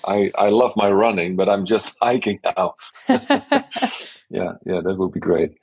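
Deep, husky-voiced man. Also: moderate pace, a little mellow. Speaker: interview guest, venture investor. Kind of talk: conversational interview answers, explaining examples.

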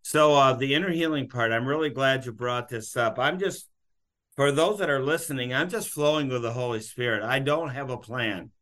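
A man's voice: medium pitch, moderate pace, a bit bright. A man hosting a podcast interview, talking in a loose, rambling, unscripted way. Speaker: podcast host. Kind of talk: casual interview chat.

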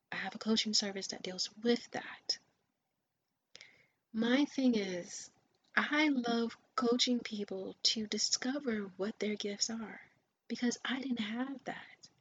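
Woman, podcast host, motivational monologue, encouraging, whispery asides, muffled recording, faint background noise. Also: mid-range voice. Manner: earnest, encouraging, often drops to a whisper. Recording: dull lo-fi sound with faint ambient noise.

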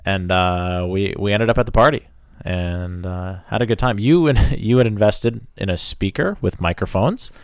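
Young male podcast co-host, very deep smooth voice, laid-back and wry. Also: normal speed, few pauses, dry recording, studio quality. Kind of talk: casual podcast chat.

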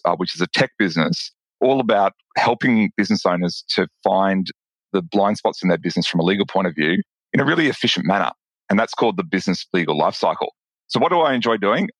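Australian guy on a podcast, deep voice, keen, keeps restarting his sentences. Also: no room sound, poor audio. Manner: enthusiastic, with frequent restarts and repeated words. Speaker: podcast guest, lawyer and business owner. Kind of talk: casual interview chat.

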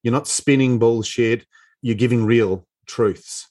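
A man hosting a podcast interview, his voice pitched in the ordinary middle range. He talks enthusiastically and bluntly, hyping up his guest and swearing casually. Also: fairly even intonation, takes it slowly, a little mellow. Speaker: podcast host.

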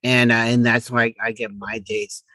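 A man's voice: medium pitch, level tone, unhurried, sharp tone, no echo. A middle-aged man co-hosting a podcast in a casual chat, inquisitively prompting with questions. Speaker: podcast co-host.

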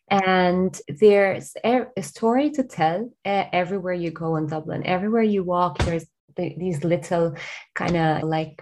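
A woman's voice: fairly low pitch, a little mellow. A woman from Lebanon, a guest on an interview podcast over a remote call, answering earnestly and enthusiastically with frequent restarts.

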